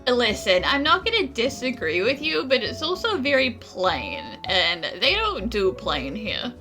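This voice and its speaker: A woman's voice: a little high, sing-song, unhurried, music in the background. A woman voicing a wry young adventurer over a video game, casual and sarcastic. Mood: dry.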